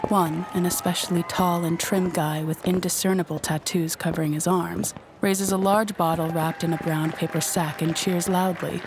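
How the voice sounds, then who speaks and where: A woman's voice: lowish voice, little pitch movement, moderate pace, hardly any pauses, crowd noise, background noise. A woman narrating a scripted audio drama in a descriptive storytelling manner.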